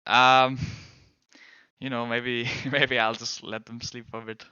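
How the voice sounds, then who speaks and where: A man's voice: medium pitch, flat delivery, slow, bright, forceful tone, clean tone, no room sound, highs cut off. A man whose first language is Danish, chatting casually with viewers while gaming as a streamer, rambling and restarting his sentences.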